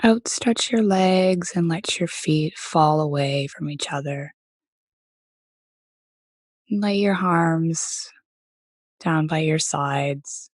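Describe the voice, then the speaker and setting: A woman leading a guided meditation as teacher, speaking gently in a soft voice with many pauses. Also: low voice, no room sound, studio quality. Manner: gentle, unhurried, pausing often between phrases.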